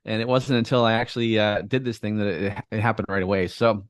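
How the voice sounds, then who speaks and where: Low-voiced man chatting casually. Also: quick pace, no room sound, studio quality. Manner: relaxed, rambling, many fillers and restarts. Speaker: guest, affiliate marketer. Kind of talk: casual interview talk.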